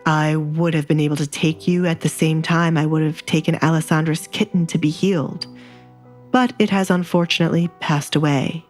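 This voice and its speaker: A woman voicing a sardonic, menacing young duke in an audio drama, speaking formally and with measured, veiled threat. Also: sits low, steady tone, darkish tone, faint music behind, lightly acted. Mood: quietly threatening.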